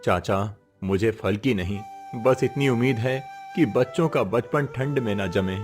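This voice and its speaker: A man's voice: on the low side, a little slow, close to an everyday voice. A man playing a poor, proud village labourer father, tender and resolute, in an earnest moral-story style. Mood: gentle, determined. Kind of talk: Hindi moral story voice acting. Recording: music behind the voice.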